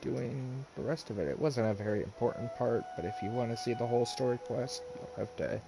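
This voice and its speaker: A man's voice: medium pitch, brisk, creaky, background noise. A man commentating casually as a game streamer on a live stream. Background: video game music.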